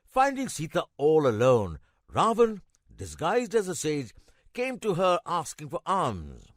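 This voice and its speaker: A man playing a kindly old grandfather in a children's cartoon, narrating a legend in a measured, expressive way with emphatic pauses. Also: on the high side, no room sound, studio quality, exaggerated voice. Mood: dramatic storytelling.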